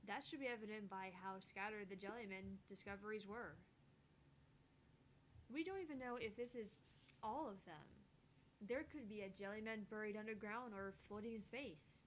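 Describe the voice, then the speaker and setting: A woman's voice: medium pitch, moderate pace, slight background noise, close to an everyday voice, rough recording. A woman voicing a skeptical, brainy young woman scientist in a visual novel, explaining matter-of-factly and dismissively. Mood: analytical. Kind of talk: visual novel dialogue read aloud.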